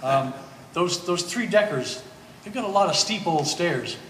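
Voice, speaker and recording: A man playing an apologetic, friendly family acquaintance with a halting manner, in a staged play reading. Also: sits high, animated, a bit bright, recorded from a distance. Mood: reflective. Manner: hesitant, halting. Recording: echoey hall, faint background noise.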